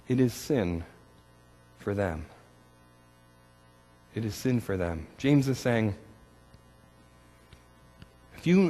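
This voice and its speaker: A man from Canada preaching a sermon, telling stories with gentle humor and often repeating words as he goes. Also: mid-range voice, big-room sound. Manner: storytelling with self-deprecating humor, repeats words. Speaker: pastor.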